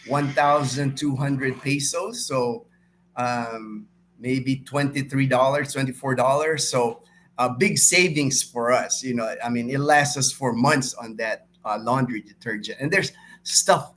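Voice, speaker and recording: A man's voice: mid-range voice, a bit bright, a little hiss or hum. A middle-aged man from the Philippines hosting a live stream, chatting casually and informally with viewers and often repeating himself. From Philippines, born and raised in Manila until twelve.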